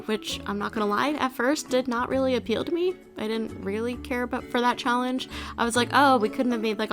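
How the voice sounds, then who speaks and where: A young woman with a stuffy, nasal voice from allergies, chatting casually and rambling as she talks through her video. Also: medium pitch. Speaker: video creator. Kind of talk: casual commentary over a building video. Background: background music.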